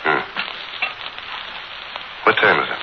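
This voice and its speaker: A man's voice: slow, lightly acted. An actor playing a menacing, wounded ex-convict gunman with a curt, sneering manner in a 1940s radio thriller. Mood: curt, wary. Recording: muffled, noisy 1940s radio recording.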